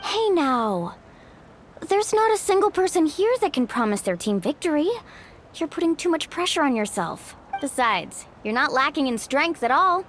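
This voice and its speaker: A woman's voice: high voice, sing-song, moderate pace, a little hiss or hum. A woman playing an upbeat, encouraging young woman warrior in an anime game, with a youthful, confident voice. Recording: music behind.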